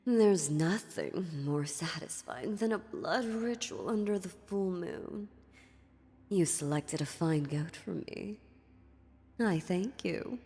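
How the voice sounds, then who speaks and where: A woman's voice: lowish voice, lively intonation, mellow. A woman playing an ancient, dominant demon in a fantasy audio roleplay, mocking and menacing, with a condescending, theatrical delivery. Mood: smug satisfaction. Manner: slow, condescending, sardonic, theatrical. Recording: long reverberant hall, music underneath.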